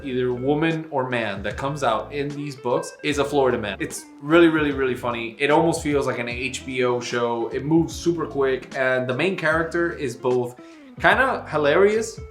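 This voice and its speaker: A man with an average-pitched voice talks casually as a book vlog co-host. He leans on his words for emphasis and has a wry, teasing way. The room is noticeably echoey, and guitar music plays faintly behind him. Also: fairly bright tone.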